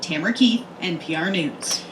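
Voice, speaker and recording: A woman's voice: on the low side, normal speed. A woman news correspondent reading a scripted radio report. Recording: radio played in car, faint noise.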